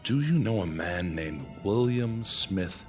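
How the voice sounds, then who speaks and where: An earnest, deferential major in radio drama style. He speaks hesitantly and respectfully in a fairly low voice. There is faint music underneath. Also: expressive, slow pace. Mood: earnest, curious.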